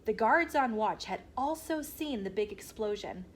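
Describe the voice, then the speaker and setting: A woman reading a children's picture book aloud as an expressive storyteller. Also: a little high, normal speed, a little hiss or hum.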